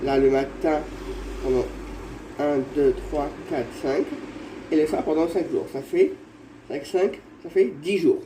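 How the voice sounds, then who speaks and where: A man vlogging at home while he has a cold, showing his remedies in a halting, rambling way that repeats itself. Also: on the high side, steady tone. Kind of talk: casual home how-to vlog. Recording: echoey room with faint background noise.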